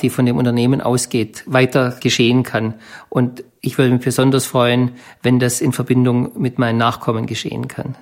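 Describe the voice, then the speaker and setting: A man speaking reflectively in an interview, in thoughtful, measured phrases. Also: medium pitch. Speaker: interviewee, descendant of a company founder.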